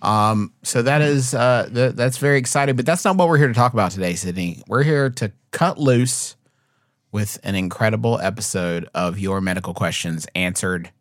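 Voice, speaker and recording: A man's voice: fairly low pitch, moderate pace, no echo, clean studio sound. A man co-hosting a podcast in loose, joking chat, rambling and restarting his sentences. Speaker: podcast co-host. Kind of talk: casual comedic chat.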